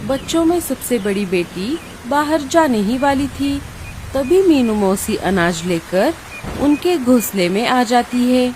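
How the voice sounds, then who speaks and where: Slow, high-pitched woman narrator. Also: expressive, a little mellow, a little hiss or hum, low-quality recording. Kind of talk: scripted children's cartoon story narration.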